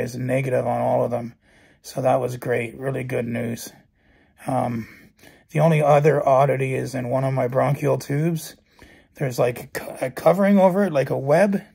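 A middle-aged man with a hoarse, gravelly voice gives a calm, matter-of-fact personal update into a phone camera in his bedroom. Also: mid-range voice. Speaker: vlogger. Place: bedroom at night, indoors. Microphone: phone camera held close.

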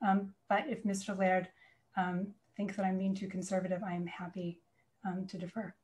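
Board chair, female, medium pitch, measured and tactful, on a video call. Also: little pitch movement, darkish tone. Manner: measured, deferential, hedging. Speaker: board chair running a meeting. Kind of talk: formal meeting discussion.

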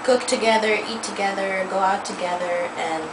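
A young woman answers interview questions calmly and simply, in a mid-pitched voice. Clear noise hangs behind her on an amateur video. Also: moderate pace. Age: young adult. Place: indoor living room.

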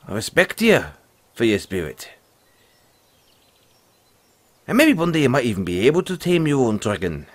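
A man playing a gruff but friendly forest dragon tamer, talking in a folksy way straight to the listener in an audio roleplay. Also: mid-range voice, no echo. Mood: amused, teasing. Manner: folksy, teasing, calls the listener lad.

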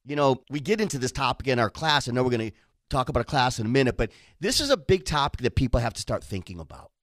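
A man explains financial scams in a conversational way, filling in with "you know" and "right?". His pitch rises and falls a lot, and the studio sound is dry. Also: fairly bright tone, no room sound. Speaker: co-host, financial instructor. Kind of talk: radio show talk.

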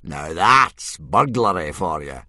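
A man voicing a gruff, blustering male Viking drill instructor who bellows at his young trainees. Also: sits low, sing-song, slow, pushed and bright, no echo, clean studio sound, heavily acted.

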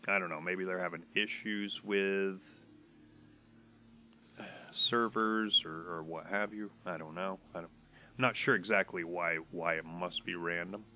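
A man's voice: sits low, fairly even intonation, unhurried, pushed and bright. A man with a stuffy nose from a cold, casually commenting while playing a game, rambling and hesitant with many restarts. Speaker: gaming YouTuber.

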